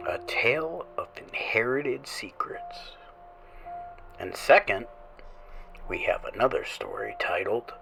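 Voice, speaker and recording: Slow, hushed male horror narrator. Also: on the low side, gravelly, rough recording. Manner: slow, theatrical, dropping to hushed whispers. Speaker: horror show host and narrator. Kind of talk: scripted horror story narration.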